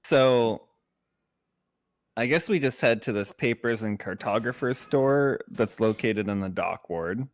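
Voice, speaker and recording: A man playing a tabletop game, chatting casually in character in a measured, agreeable and polite way. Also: medium pitch. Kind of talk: casual in-game roleplay chat.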